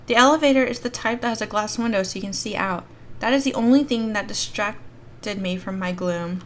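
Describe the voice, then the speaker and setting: A woman hosting a gaming video, reading a visual novel aloud as an expressive storyteller with casual commentary. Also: mid-range voice, slight background noise. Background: background music.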